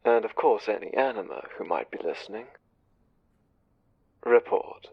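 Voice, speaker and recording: Audio drama spymaster angel man acting: husky, low, commanding, condescending, slyly menacing. Dry, muffled sound. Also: animated, no echo, heavily acted. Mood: cool, imperious. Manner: measured, condescending, deliberate menace. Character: conniving, smooth, commanding spymaster angel, male. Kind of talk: audio drama roleplay, one side of a conversation.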